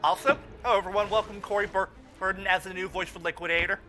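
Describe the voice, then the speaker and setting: Excitable high-pitched man, bright and sharp. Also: fast, soft background music. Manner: exclamatory, stammering, repeats phrases. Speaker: podcast host riffing on a cartoon. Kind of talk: excitable film-riffing commentary.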